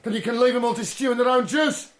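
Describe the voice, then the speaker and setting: An actor playing a scornful, outspoken British common soldier, declaiming bluntly in a radio drama. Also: male voice, very high-pitched, fast, heavy background noise. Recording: crowd in debating room.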